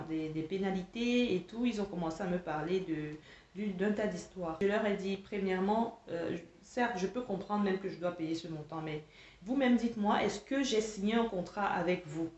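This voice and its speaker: A woman's voice: medium pitch, a little mellow. A woman telling a personal story to listeners in an exasperated, emphatic way, often repeating words as she searches for phrasing. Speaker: online coach telling a personal anecdote. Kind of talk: spoken storytelling to camera, recounting dialogue. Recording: echoey room, slightly dull lo-fi sound.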